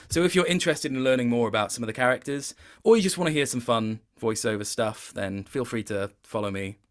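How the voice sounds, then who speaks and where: A man with a bright, forward voice and mid pitch talks casually as an interview guest. He rambles hesitantly, repeating words and interrupting himself as he goes. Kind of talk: casual chat.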